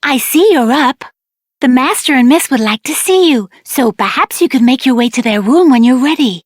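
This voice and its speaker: High-voiced, courteous household maid. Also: female voice, animated, normal speed, dry recording, clean studio sound. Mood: polite. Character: polite, dutiful household maid. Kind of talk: video game character acting.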